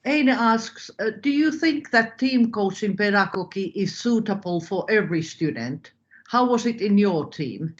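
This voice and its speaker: A woman's voice: mid-range voice, lively intonation, a little slow. A woman moderating an informal webinar, on slightly dull call audio. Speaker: moderator, teacher.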